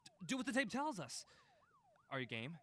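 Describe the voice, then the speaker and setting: A man playing an excitable, playful film fanatic in an audio drama, eager and urgent in his delivery. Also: high voice, moderate pace, full character voice. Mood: game, conspiratorial. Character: Grant, excitable, playful thrill-seeking film fanatic friend.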